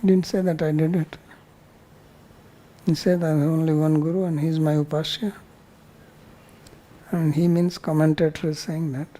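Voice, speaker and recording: A man's voice: fairly high pitch, level tone, normal speed, long pauses, warm tone, poor audio. An older man with an Indian accent answers students as a spiritual teacher, bluntly and often turning questions back on them.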